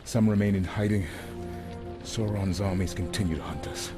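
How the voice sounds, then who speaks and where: Man playing a low, grim, weary soldier. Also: normal speed, natural voice. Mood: grim, subdued. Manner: subdued, short grave sentences. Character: weary, grim soldier reporting losses to comrade. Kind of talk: video game character acting. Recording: game music behind.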